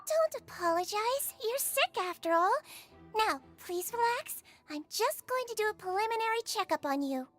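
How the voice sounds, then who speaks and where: A woman voicing a gentle, caring small female nurse in an anime game, soothing and polite with a doting bedside manner. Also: very high voice, expressive, moderate pace, faint music behind. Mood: soothing, attentive.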